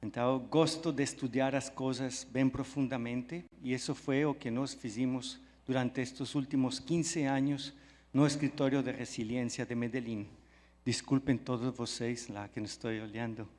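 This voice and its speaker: A man's voice: mid-range voice, steady tone, normal speed, darkish tone. Belgian man with a French accent, enthusiastic. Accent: French and Spanish, speaking Portuguese mixed with Spanish. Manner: friendly, enthusiastic, mixing Spanish words into Portuguese. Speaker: conference speaker. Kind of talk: conference talk. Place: large hall at a live event. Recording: echoey hall sound.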